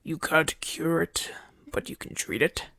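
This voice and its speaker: Breathy, husky wounded gladiator played by a man. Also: on the high side, steady tone, sharp tone, no room sound, whispered, full character voice. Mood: wry, uneasy. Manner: breathy, halting, weary delivery with gruff bluster. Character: wounded male gladiator champion, brash, proud, trusting his doctor. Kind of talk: ASMR audio roleplay.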